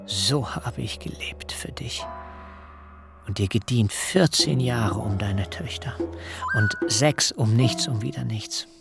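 An actor playing an ageing, righteously angry biblical herdsman in a literary radio drama, speaking in archaic, deliberate rhetoric. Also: whispered, over loud music. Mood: bitter, aggrieved. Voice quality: low, gravelly.

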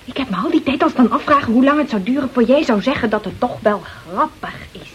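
A dull, lo-fi old radio recording. a strong-willed, anxious woman with a high voice, indignant and exasperated with her companions. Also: lively intonation, a little fast, slightly rough. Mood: alarmed, indignant. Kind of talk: old Dutch radio play acting.

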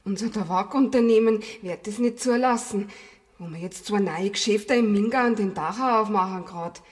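Radio drama: sensible Bavarian married woman played by an actress, conversational, medium pitch, long reverb. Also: moderate pace, close to an everyday voice. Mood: matter-of-fact. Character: practical, worried married woman friend, expecting a child. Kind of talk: German radio play acting.

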